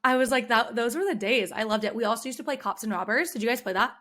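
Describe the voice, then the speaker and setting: A woman hosting a podcast in casual chat, her voice a bit hoarse, telling stories in a bubbly, animated way. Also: fairly high pitch, fast talker. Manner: bubbly, animated storytelling with many "like" fillers. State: slightly hoarse. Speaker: podcast host, career coach.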